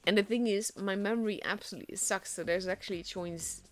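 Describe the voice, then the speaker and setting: A young Dutch woman, a native Dutch speaker, casting a tank game solo in a chatty, self-deprecating way that grows excited at big plays. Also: medium pitch, quick pace, soft background music. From Netherlands. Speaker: esports caster.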